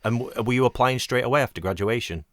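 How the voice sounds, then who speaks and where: A man hosting a podcast, asking measured, supportive questions. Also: mid-range voice, a bit bright, dry recording, studio quality. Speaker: podcast host, interviewer. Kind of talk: interview.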